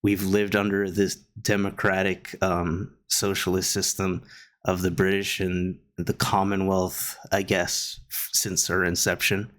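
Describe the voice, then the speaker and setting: A man from Guyana giving a casual opinion monologue, rambling with many pauses and restarts. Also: sits low, level tone, a bit bright. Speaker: commentator reacting to online drama. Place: Canada.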